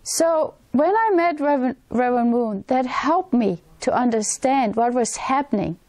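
A woman in her sixties from Denmark with a Danish accent, hosting her own channel and talking earnestly straight to camera in a plain, slightly halting way. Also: high voice, lively intonation, a little mellow, no echo. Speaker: channel host. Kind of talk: direct-to-camera talk. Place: indoors, in front of a wintry forest backdrop. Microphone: camera framing head and shoulders.

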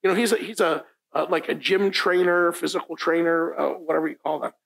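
Man, middle-aged, pastor, first language Italian, gravelly voice, casual sermon, repeats points, church room, distant camera. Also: fairly high pitch, fairly even intonation, a little fast, a bit bright. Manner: informal, often asks "right?", repeats key lines for emphasis. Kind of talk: conversational sermon. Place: church meeting room, indoors, standing at a lectern.

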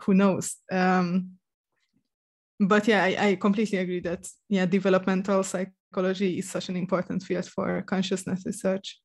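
A woman's voice: lowish voice, flat delivery, moderate pace, darkish tone. A woman in a headset on a video call, thinking aloud about research with many fillers. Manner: thinking aloud, many "you know" and "I don't know". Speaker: podcast co-host. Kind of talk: academic discussion over a video call.

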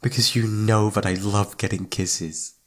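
A man's voice: lowish voice, fairly even intonation, measured pace, fairly bright tone. A man playing a needy, playful young boyfriend in intimate ASMR roleplay, pouty and sweet, stammering when flustered. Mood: needy, teasing.

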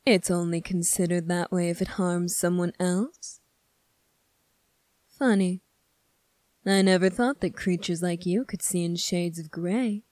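A woman playing a smug, scornful male demon prince in an ASMR roleplay, speaking in a condescending, deliberate way. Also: lowish voice, fairly even intonation, darkish tone, dry recording, close to an everyday voice. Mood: smug, taunting.

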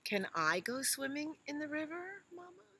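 Woman voicing a high, curious little elephant child. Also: big pitch swings, normal speed, sharp tone, full character voice. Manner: questioning, eager.

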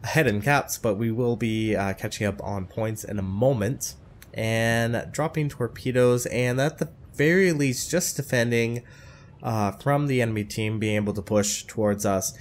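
A man's voice: mid-range voice, moderate pace, slight background noise. A man with a stuffed-up, nasal voice commentating his own naval game in a matter-of-fact way, with frequent hesitations and apologetic asides. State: stuffed-up nose. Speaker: gameplay commentator.

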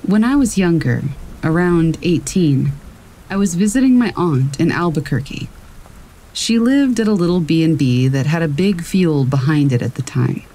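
A woman calmly reading true stories aloud as a narrator. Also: low voice, moderate pace, low-quality recording. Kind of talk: calm scripted narration. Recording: faint rain noise behind. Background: rain.

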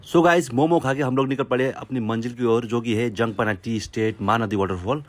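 A man's voice: mid-range voice, brisk, noisy background. A young man doing casual travel-vlog narration outdoors on a helmet and selfie camera, chatting like a tour guide and calling out to his viewers. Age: young adult. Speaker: motorcycle travel vlogger. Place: outdoors on hill roads and at a roadside cafe. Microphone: helmet camera and handheld selfie camera. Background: background music and passing vehicles.

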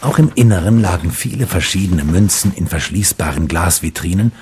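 A man giving calm, measured scripted narration for a radio play. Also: low voice, heavy background noise.

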